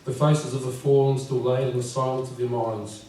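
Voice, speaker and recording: A man in his fifties with a croaky voice, reading his poems at a live event and speaking gently and unhurriedly. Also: medium pitch, little pitch movement, moderate pace, slight background noise. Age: 50s. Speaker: poet. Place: live venue with audience. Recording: echoey room from far off.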